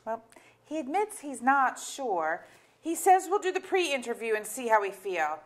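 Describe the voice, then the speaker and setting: A woman's voice: a little high, sing-song, unhurried. A woman playing a wry, sardonic middle-aged wife and mother in a staged play reading, with dry, hesitant asides. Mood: dry, evasive.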